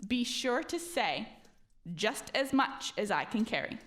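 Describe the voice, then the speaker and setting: A woman's voice: fairly high pitch, big pitch swings, a little slow, a bit bright. A woman playing a clever, confident young maid who eagerly gives instructions in a radio play. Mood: urgent coaching. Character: clever, brisk, confident young maid coaching her sweetheart.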